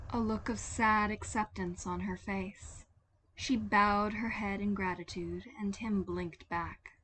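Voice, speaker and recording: A woman's voice: medium pitch, fairly bright tone, slight background noise, low-quality recording. A woman reading a story as a dramatic scripted narration. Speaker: podfic narrator.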